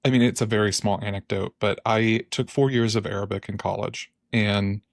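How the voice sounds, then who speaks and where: A man's voice: on the low side, steady tone, brisk, gravelly, no room sound, clean studio sound. A man hosting a podcast, talking in an easygoing, hesitant way with ums and short laughs. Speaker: podcast host. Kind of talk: casual podcast conversation.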